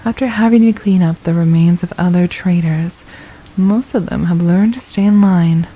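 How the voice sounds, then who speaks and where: A woman playing a possessive, condescending royal villain in an ASMR roleplay, speaking in a soft, silky, deliberate murmur with menace and mockery. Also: fairly low pitch, slightly rough, rough recording. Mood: smug, threatening. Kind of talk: ASMR roleplay, intimate scripted monologue.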